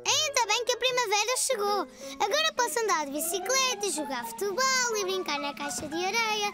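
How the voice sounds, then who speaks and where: Uma mulher dando voz a um menino de quatro anos, alegre e agitado, num desenho animado dobrado em português europeu. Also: female voice, very high voice, lively intonation, a little fast, over loud music. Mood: eager. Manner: bouncy, eager, giggly child voice. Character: cheerful excitable little bald boy, four.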